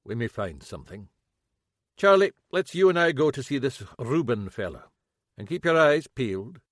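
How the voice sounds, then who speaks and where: Man doing a calm, dry older inspector. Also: medium pitch, normal speed, no room sound, voice pushed up, lightly acted. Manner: calm, understated, dry humour. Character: older Scottish male detective inspector, calm, dry-witted.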